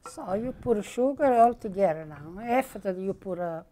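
An older woman with an Italian accent, a native Italian speaker, chatting casually about cooking in halting, simple broken English. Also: mid-range voice, expressive, dark tone, a little hiss or hum. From Puglia, Italy. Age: older, grandmother. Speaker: grandmother cook on a cooking show. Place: home-style kitchen, indoors.

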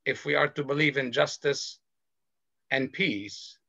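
Earnest man on a gravelly video call. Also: mid-range voice, pushed and bright, dry recording. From Lebanon. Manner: slow, earnest, measured delivery. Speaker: panelist. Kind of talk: personal testimony on a panel. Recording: dull video call audio.

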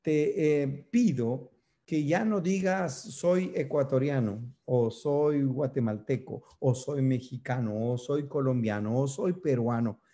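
Man, 50s, from Ecuador, pastor, mellow warm tone, emphatic preaching, close webcam in an office. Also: mid-range voice, slow pace. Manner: earnest preaching, emphatic, with hand gestures. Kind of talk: sermon over video. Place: office indoors.

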